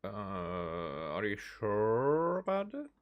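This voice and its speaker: A man's voice: on the low side, huge pitch swings, extremely slow, lo-fi sound. A man giving casual live commentary as a game streamer, reading dialogue aloud with hesitant, musing asides.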